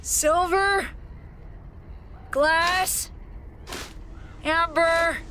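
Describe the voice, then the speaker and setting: Very high, anxious lost youngster. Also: female voice, fairly even intonation, very slow, sharp tone, slight background noise, heavily acted. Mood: alarmed, searching. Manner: anxious, exclaiming. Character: frightened lost youngster calling out for friends. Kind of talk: audio drama acting.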